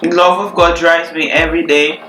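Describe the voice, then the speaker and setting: A young woman chatting casually in a relaxed Q&A vlog, repeating words for emphasis. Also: deep voice, a little fast. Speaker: YouTuber. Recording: echoey room, faint music.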